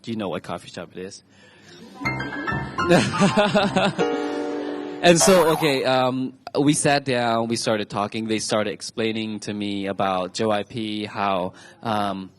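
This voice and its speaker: A young man in his twenties from Thailand speaks on a stage talk show, recounting his own story to the crowd in front of him. His voice sits a little low, and his talk is chatty and halting, full of restarts. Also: soft background music. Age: 20s. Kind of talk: personal storytelling to an audience.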